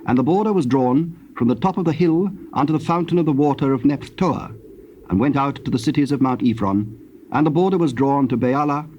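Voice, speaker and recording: Measured British male scripture narrator, noisy tape. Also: mid-range voice, a little mellow, highs cut off. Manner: measured, formal. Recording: noisy old cassette tape recording.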